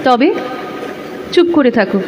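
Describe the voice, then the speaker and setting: A woman's voice: sits high, lively intonation, takes it slowly, in a large hall. An actress playing a bold, teasing married woman, playful and forward, in an audio drama. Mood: curt, resigned. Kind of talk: Bengali audio drama acting. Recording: slightly noisy recording.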